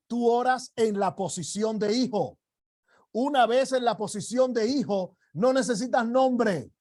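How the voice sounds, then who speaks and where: Slow, high-voiced preacher. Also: male voice. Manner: slow, emphatic preaching with rising shouted declarations. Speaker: apostle teaching a ministry class. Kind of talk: sermon.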